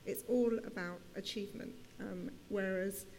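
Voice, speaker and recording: A woman's voice: mid-range voice, fairly even intonation, normal speed, creaky, darkish tone. A woman talking informally as a speaker on a conference panel. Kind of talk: unscripted panel discussion. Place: conference session. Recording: faint hum and background noise.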